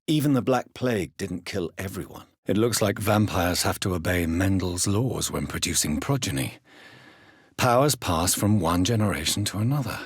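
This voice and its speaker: Casting: an educated English doctor turned vampire for a game; husky, formal and earnest, close dry sound. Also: male voice, no echo. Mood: brooding, intrigued. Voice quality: low husky voice. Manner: formal, measured, earnest phrasing. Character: grave, educated gentleman doctor turned vampire, early 20th century. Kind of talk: video game character dialogue.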